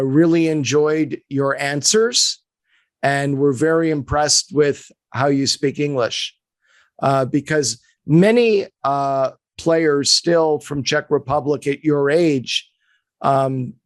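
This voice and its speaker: A middle-aged man hosting a podcast, asking a guest questions in a measured, friendly way. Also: fairly high pitch, no echo. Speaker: podcast host and player agent. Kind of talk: interview questions.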